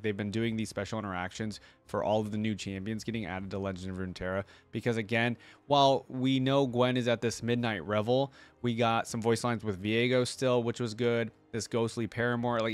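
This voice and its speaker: A man hosting a reaction video, giving casual, appreciative commentary and musing aloud. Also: fairly low pitch.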